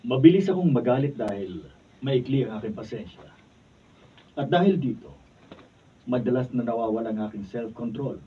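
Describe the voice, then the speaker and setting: A man's voice: medium pitch, lively intonation, moderate pace, long pauses, lightly acted. A man playing an irritable, scolding husband and father in a Filipino radio drama. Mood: regretful. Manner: snapping, scolding outbursts. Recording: distant mic, dull faintly noisy sound.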